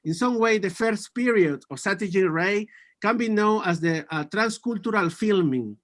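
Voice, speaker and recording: A man in his forties from Peru, a native Spanish speaker with a Peruvian accent, giving a prepared conference talk in an earnest way, often restarting and correcting his words. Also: high-pitched. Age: 40s.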